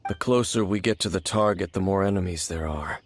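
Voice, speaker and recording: An actor playing an aloof, brooding warrior in a video game, speaking in a grave, measured, guarded way over music. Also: male voice, sits low, no echo. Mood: grave explaining. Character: brooding, aloof male warrior with ancient grudges. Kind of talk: video game dramatic acting.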